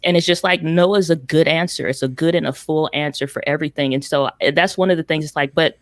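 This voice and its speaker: A woman's voice: sits low, quick pace, no room sound, clean studio sound. A woman speaking as a panelist, emphatic and conversational, with many "it's like" asides. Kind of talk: panel discussion.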